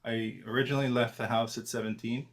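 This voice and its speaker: A man in his forties, born in Argentina, answering interview questions in a relaxed, self-deprecating way with many fillers and restarts. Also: mid-range voice, quick pace. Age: 40s. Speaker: trader, interview guest.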